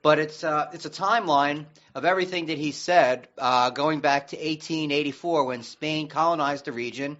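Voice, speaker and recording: An American man co-hosting a radio show in casual discussion, rambling haltingly and often restarting mid-sentence. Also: fairly high pitch, a bit bright, lo-fi sound.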